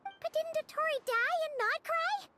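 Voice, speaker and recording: A woman voicing a tiny, cheeky, excitable girl character in a video game, with a squeaky voice. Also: measured pace, background noise. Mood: puzzled.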